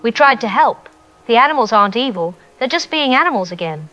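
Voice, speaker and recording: A woman's voice: fairly high pitch, lively intonation, fairly quick, a bit bright. A woman playing a resigned, solemn radio operator speaking reflectively in an apocalyptic radio drama. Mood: resigned, steadfast. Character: somber, resigned female radio operator survivor. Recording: dull, noisy radio with rain.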